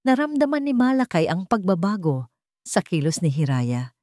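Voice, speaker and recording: A woman's voice: fairly low pitch, sing-song, moderate pace, mellow, no echo, studio quality. A woman with a Filipino accent narrating a scripted dramatic story.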